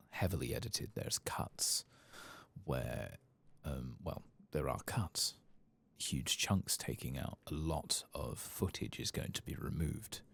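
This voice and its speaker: A soft-spoken man giving relaxed, musing ASMR commentary on a game playthrough, close to the mic and at times near a whisper. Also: gravelly. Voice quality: soft, low-volume voice, sometimes dropping to a whisper. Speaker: gaming ASMR channel host. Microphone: close, dry microphone.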